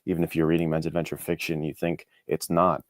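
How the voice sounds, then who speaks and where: Male interview guest, very deep voice. Earnest, encouraging, reflective and conversational, with a dry sound. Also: monotone, fast talker, no echo. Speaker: interview guest, indie author. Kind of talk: casual chat, reflective answers.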